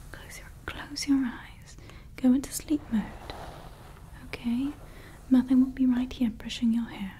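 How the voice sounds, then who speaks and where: A scheming evil woman scientist who dotes on her android creation, as a woman whispers her in an ASMR roleplay. She keeps to a slow, mellow whisper that sits a little high, rambling along with small fillers. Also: close to an everyday voice, rough recording. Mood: soothing.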